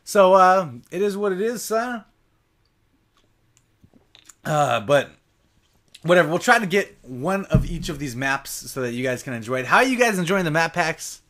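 A young man giving casual live commentary over his own gameplay, talking straight to his viewers, his voice a bit raspy from long sessions. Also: on the high side, expressive, moderate pace, pauses often, a bit bright. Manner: chatty, casual, addresses viewers directly.

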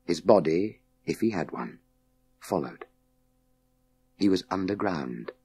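A man reading a children's science fiction audiobook in a measured, suspenseful, dramatic way with deliberate pauses. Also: low-pitched, expressive, a little mellow, husky. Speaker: audiobook narrator. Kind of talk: scripted dramatic narration of a children's sci-fi story.

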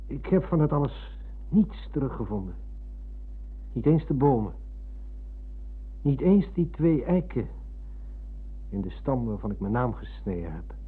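An actor playing a nostalgic, earnest former pupil in a radio drama, speaking emphatically and wistfully. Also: male voice, medium pitch, big pitch swings, unhurried, long pauses, husky, narrow, tinny audio. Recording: thin, humming old radio recording.

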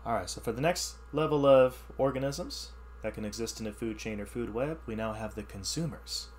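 A man reading a science ebook aloud as a teacher, pausing to explain the text in simpler words. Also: medium pitch, slight background noise. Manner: reads text then explains in plain words. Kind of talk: read-aloud lesson with asides.

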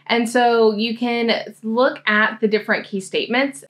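A young American woman giving a casual software tutorial, explaining things step by step. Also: fairly high pitch, fairly even intonation, unhurried. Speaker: host, academic coach demonstrating software. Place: indoor room, seated at a desk.

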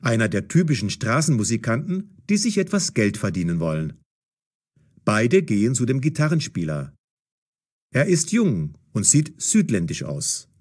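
A man narrating a scripted audio story for language learners in a measured, clearly enunciated way. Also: medium pitch, huge pitch swings, a little mellow.

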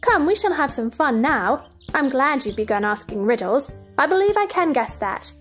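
A woman's voice: high-pitched, very animated, normal speed, soft background music. A woman playing a polite, curious and easily indignant young girl in a stage play dramatization. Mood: eager.

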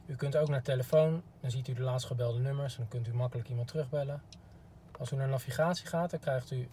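A man's voice: mid-range voice, mellow. A man giving a polite, informal instructional demo as a car product expert, recorded inside a parked car. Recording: clear background noise.

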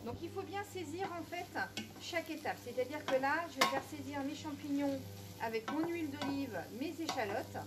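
A middle-aged woman hosting a casual cooking demonstration in a small shop, chatty, filling every pause with "so" and "of course". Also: high-pitched, background noise. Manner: chatty, keeps saying "donc" and "bien sûr". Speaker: cooking show host.

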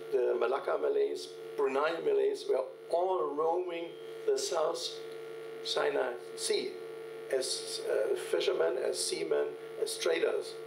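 An older man giving a keynote lecture from a podium, telling field anecdotes in a halting way with many fillers and restarts. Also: medium pitch, gravelly. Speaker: keynote speaker. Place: large lecture hall at a podium. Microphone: podium microphone, recorded from the hall. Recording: echoey hall, distant, mains hum.

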